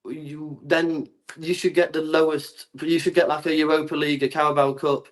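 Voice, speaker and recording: A man's voice: fairly high pitch, little pitch movement, moderate pace, poor audio. A man from England arguing his side in a heated debate, stammering and repeating his words. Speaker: guest.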